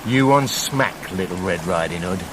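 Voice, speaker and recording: An actor playing a cheeky, sarcastic talking wolf villain in a comic radio play, with a blunt, mocking, delivery. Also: male voice, low voice, lively intonation, normal speed, noisy background. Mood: mocking, argumentative.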